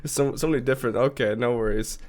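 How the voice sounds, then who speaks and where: A man co-hosting a casual football podcast, welcoming and interviewing a guest in a relaxed, friendly way. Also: mid-range voice, fast. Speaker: co-host and interviewer.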